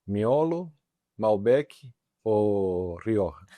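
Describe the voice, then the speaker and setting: A man hosting an interview, asking short, casual questions with a playful touch. His voice sits low and mellow. The sound is dry and close. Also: sing-song, drawn-out words, no echo. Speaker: interview host.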